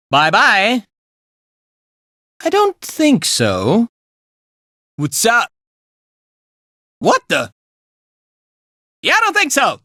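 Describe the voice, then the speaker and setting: An easygoing, teasing male fighter from a video game. His pitch leaps about wildly and the tone is bright and sharp. He drawls short, flippant lines at an unhurried pace, with a world-weary shrug. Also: dry recording, studio quality, exaggerated voice.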